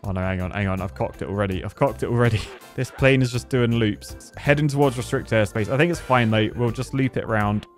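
A man's voice: medium pitch, a little fast, soft background music. A British man giving casual, jokey commentary as he plays a game, chatting and thinking aloud as host of the video. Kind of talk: casual live gameplay commentary.

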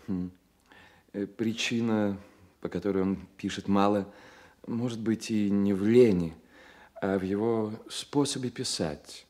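An actor playing a proud, witty young poet in a radio drama, with measured, declamatory delivery. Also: male voice, fairly low pitch, dark tone. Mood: reflective. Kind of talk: Soviet radio drama acting.

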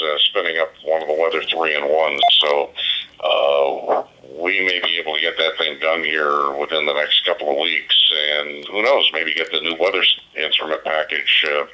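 Really low voiced guy, ham radio chat, halting, super bright sharp sound thru a digital handheld speaker. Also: rough recording. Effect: digital two-way radio. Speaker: amateur radio operator. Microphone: voice played through portable radio speaker.

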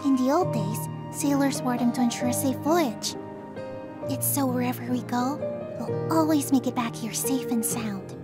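A woman's voice: high voice, moderate pace, lightly acted. A woman voicing a cheerful, teasing, hopeful young girl in a manga dub, earnest in manner. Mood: cheerful, playful. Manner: bright-spirited, earnest. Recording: loud music under the voice.

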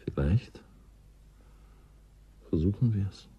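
An actor playing a brooding, resigned male scientist in a radio play, speaking in a slow, halting, deliberate way with a husky, breathy voice. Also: deep voice, lively intonation, highs cut off, a little hiss or hum, heavily acted. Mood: wistful. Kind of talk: German science fiction radio drama acting.

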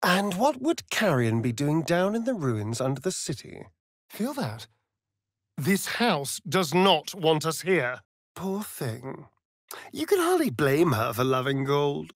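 An actor playing a sly, vain vampire rogue in a video game, with a theatrical, arch, drawling delivery. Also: male voice, a little high, very animated, takes it slowly, no echo, studio quality, heavily acted. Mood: wry, teasing.

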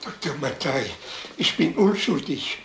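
An actor playing a cornered, frightened peddler in a crime radio drama, halting and submissive. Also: male voice, a little high, expressive, vocal fry, fairly bright tone, reverberant, slight background noise, heavily acted. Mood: desperate pleading. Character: frightened, cornered male peddler suspected of murder.

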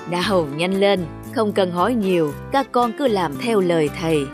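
A wise old village schoolmaster in a cartoon folk tale, voiced by a woman. He instructs in a measured, patient way, with music playing clearly underneath. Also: fairly low pitch, close to an everyday voice. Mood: instructive. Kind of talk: scripted cartoon dubbing.

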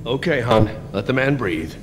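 A man's voice: medium pitch, lively intonation, normal speed, noisy background, natural voice. A man playing a worried, protective middle-aged father in a video game, earnest and insistent. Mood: concerned. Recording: loud film-style music behind.

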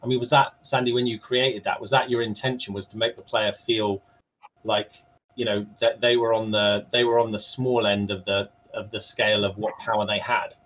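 A man's voice: fairly low pitch, level tone, moderate pace, a bit bright. A man moderating a casual panel discussion on a video call, rephrasing his question as he goes. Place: room at home, video call. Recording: distant, noisy video call.

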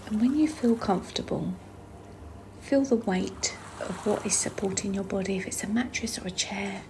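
A woman guides a meditation in a soft, breathy voice that often sits close to a whisper. Her pitch is mid-range for a woman, and she takes her time between phrases. A clearly audible wash of trickling water and noise runs behind her. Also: moderate pace.

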